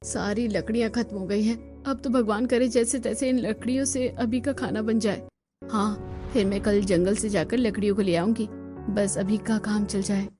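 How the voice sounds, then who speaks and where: A woman voicing a hard-pressed, caring young village mother in a cartoon, earnest and expressive. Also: medium pitch, moderate pace, close to an everyday voice. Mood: resigned. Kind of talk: Hindi cartoon voice acting. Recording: music under the voice.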